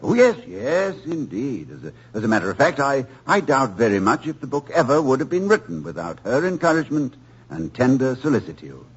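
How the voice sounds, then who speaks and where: A man's voice: mid-range voice, big pitch swings, fairly quick. An actor playing a cheerful, stammering male college president in a 1940s radio drama, on a muffled old broadcast recording. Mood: gracious. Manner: hesitant, stammering, chuckling.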